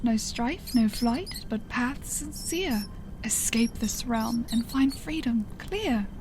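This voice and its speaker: A woman playing an eerie, solemn mystic adventurer in a fantasy horror role-play, speaking in measured, incantatory phrases. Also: a little high, lively intonation, background noise. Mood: eerie incanting. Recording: loud music behind the voice.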